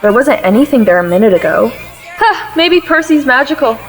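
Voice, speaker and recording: Woman as a highish, whimsical teenage girl. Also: very animated, moderate pace, soft background music, rough recording. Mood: curious. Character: easygoing, whimsical teenage girl into witchy things. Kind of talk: contemporary audio play acting.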